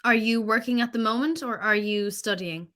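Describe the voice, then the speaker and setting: A woman from Ireland, leading a relaxed online English lesson. She asks patient, encouraging follow-up questions in a slightly high voice. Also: moderate pace. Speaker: English tutor. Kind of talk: online tutoring conversation.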